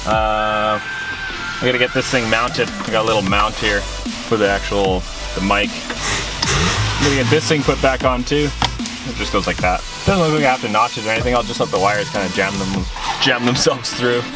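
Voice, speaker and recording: A man's voice: fairly low pitch, normal speed, loud music behind the voice. A man talking informally in a casual DIY vlog, thinking aloud as he works inside a vehicle. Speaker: vlogger. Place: vehicle cabin.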